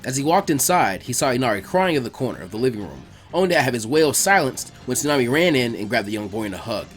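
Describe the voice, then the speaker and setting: A man reading a scripted story aloud as its narrator, in an animated storytelling style. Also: medium pitch, fast, faint music behind. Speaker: narrator of fan fiction readings.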